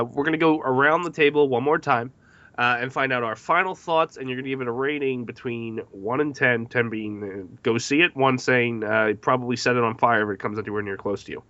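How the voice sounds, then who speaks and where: A man's voice: medium pitch, sharp tone, dry recording, highs cut off. A man hosting a podcast panel discussion in a casual style, addressing guests and listeners. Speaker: podcast host.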